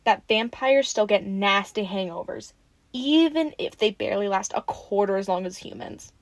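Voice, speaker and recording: A woman acting in an audio roleplay as a friendly, excitable young woman who rambles casually and gets playfully defensive. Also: medium pitch, normal speed, no room sound, close to an everyday voice. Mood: teasing, friendly.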